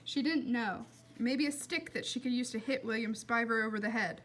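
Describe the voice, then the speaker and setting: A woman's voice: a little high, moderate pace, fairly bright tone, slight background noise. A woman reading a children's story aloud expressively and putting questions to listeners as a teacher.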